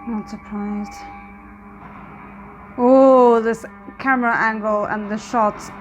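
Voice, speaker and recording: High-pitched woman reacting casually. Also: steady tone, slow pace, muffled recording, soft background music. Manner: reflective, analytical. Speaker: reaction video host.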